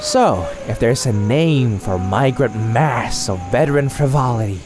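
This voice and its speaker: A man voicing an excitable, scatterbrained young male cat with a florid, rambling, theatrical delivery in a comic dub. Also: mid-range voice, measured pace, over music, natural voice. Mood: grandiose, poetic. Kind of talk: animated comic dub acting.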